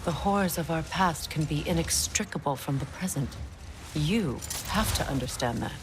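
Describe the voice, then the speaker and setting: An actress playing a proud, wounded middle-aged goddess in a video game, sombre yet compassionate, with a measured, guarded delivery. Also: female voice, low-pitched, background noise, close to an everyday voice. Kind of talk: video game dramatic acting.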